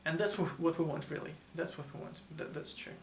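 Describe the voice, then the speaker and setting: A man's voice: a little high, a little fast, creaky. Hesitant young man, distant and roomy. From born in Russia, raised in Ukraine. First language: not English. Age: 20s. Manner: hesitant, many filler sounds and restarts. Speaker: interviewee. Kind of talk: halting conversational answers in a second language. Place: hotel room, seated, indoors. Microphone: camera mic from across the room. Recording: roomy, distant mic, faint room hum.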